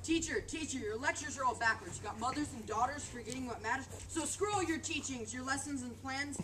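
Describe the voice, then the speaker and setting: A high-voiced woman delivers dramatic spoken word with a punchy rhythm. She is picked up from a distance, with clear noise behind her. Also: brisk. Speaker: readers theater performer.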